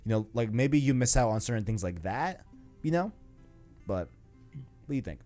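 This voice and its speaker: A man's voice: mid-range voice, animated, normal speed, warm tone, faint music behind. A man hosting a video game discussion show, chatting casually in a rambling way full of verbal tags like "you know". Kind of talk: casual chat.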